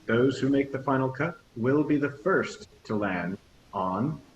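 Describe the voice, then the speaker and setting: A man's voice: mid-range voice, animated, lightly acted. A man reading a commanding captain. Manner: speechmaking, measured. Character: warm, commanding captain in his fifties. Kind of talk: table read. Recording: echoey, muffled, hissy audio.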